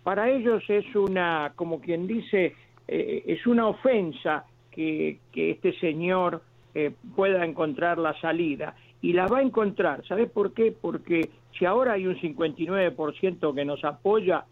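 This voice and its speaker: Un hombre que llama a un programa de radio. Habla entrecortado, se corrige a menudo y dice lo que piensa con convicción. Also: male voice, sits high. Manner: halting, many restarts, earnest. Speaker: caller. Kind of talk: phone-in call. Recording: tinny phone line.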